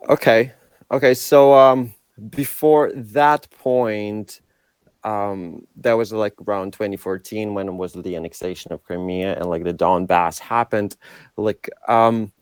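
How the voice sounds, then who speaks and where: A man's voice: lowish voice, steady tone, a little slow, clear, resonant tone, dry recording. A young man from Ukraine answering interview questions in a casual, hesitant way, with many fillers and restarts. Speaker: interview guest.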